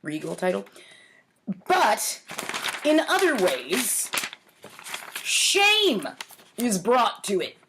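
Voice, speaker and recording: Woman reading stuff out loud, kinda high voice, super up and down, wry and a bit theatrical w casual side comments. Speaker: host reading her own childhood writing. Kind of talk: read-aloud with casual self-mocking asides. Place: home kitchen, indoors. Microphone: webcam or laptop at arm's length.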